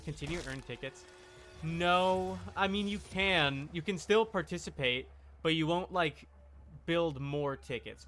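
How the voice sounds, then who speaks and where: A man giving casual, deadpan joking live commentary while playing a video game. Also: mid-range voice. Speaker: game streamer. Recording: clear background noise.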